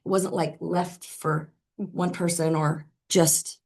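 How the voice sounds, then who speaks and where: A woman with a hoarse voice, reporting at a school board meeting in a chatty way with many fillers and restarts. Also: low voice, level tone, slow, darkish tone. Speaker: school administrator.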